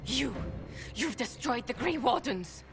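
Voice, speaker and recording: High-voiced defiant warrior commander. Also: female voice, lively intonation, measured pace. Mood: furious defiance. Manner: declamatory, impassioned. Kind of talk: dramatic fantasy game acting. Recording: very loud music underneath.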